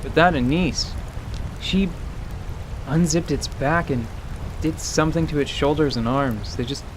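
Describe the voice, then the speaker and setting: A man playing a rattled, queasy male traveller who grumbles haltingly and uneasily in a fantasy radio play. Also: on the high side, noisy background, lightly acted. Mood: queasy, unsettled. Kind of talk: fantasy radio theatre acting. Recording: rain ambience behind voice.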